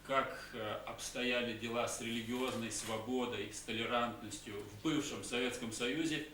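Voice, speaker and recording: Brief: male conference speaker, halting and hesitant, heard from a distance in a roomy hall with faint hiss. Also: medium pitch, steady tone, sharp tone. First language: Russian. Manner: halting, many hesitation sounds. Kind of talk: conference talk with pauses for interpreter.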